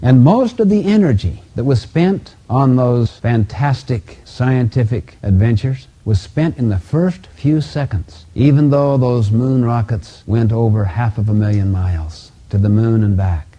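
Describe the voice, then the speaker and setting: A middle-aged man giving a motivational self-help lecture in a didactic, emphatic way with deliberate phrasing. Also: mid-range voice, dark tone. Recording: dull lo-fi audio.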